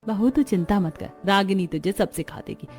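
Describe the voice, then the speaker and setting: A voice actress playing an older mother-in-law who runs the household with caring firmness, in an animated story. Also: medium pitch, normal speed, faint music behind, lightly acted. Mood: coaxing. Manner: motherly, gently commanding.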